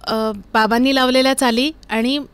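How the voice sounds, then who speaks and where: Clear, smooth woman guest. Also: high-pitched, steady tone, moderate pace. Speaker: interview guest, singer. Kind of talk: casual conversational interview.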